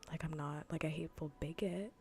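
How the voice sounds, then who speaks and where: A woman streamer chatting softly in ASMR style close to the microphone, often in a breathy whisper, with a dry, unhurried, matter-of-fact way of talking. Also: sits low. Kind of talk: soft-spoken ASMR gaming livestream chat.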